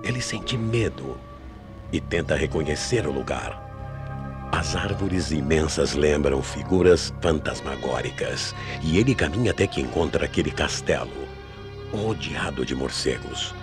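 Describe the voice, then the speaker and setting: A man narrating a radio mystery play in a grave, measured, dramatic storytelling manner. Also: extremely low pitch, lively intonation, heavily acted. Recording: AM radio drama with loud music behind.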